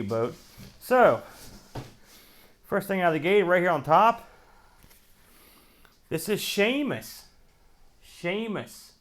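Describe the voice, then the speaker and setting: A man's voice: a little high, a bit bright. A man hosting a retro gaming show, giving casual, wry unboxing commentary in folksy slang as he thinks aloud while handling items.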